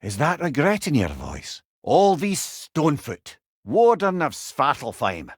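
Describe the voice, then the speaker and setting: A wise old Scottish man serves as a video game companion and storyteller. His delivery is eloquent and wry, with a lilting, very animated pitch. The recording is close and dry, with no room sound. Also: measured pace, husky, dry recording, exaggerated voice. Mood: earnest, informative. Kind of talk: video game character dialogue.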